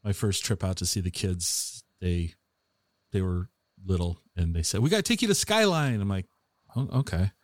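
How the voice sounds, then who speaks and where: A man's voice: low-pitched, animated, normal speed, no room sound, clean studio sound. A middle-aged American man hosting a podcast and chatting casually with a guest. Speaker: podcast host, interviewer. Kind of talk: casual chat.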